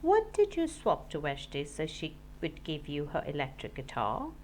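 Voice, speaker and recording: A woman's voice: deep voice, huge pitch swings, moderate pace, a little mellow, a little hiss or hum, pitched below the performer's own voice. A woman voicing an earnest young boy in a children's storybook. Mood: questioning. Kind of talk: storybook character voice.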